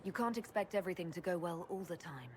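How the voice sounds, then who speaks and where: A woman's voice: on the low side, animated, fast, a little hiss or hum, lightly acted. An actress playing a direct female leader who speaks firmly. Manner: direct, firm.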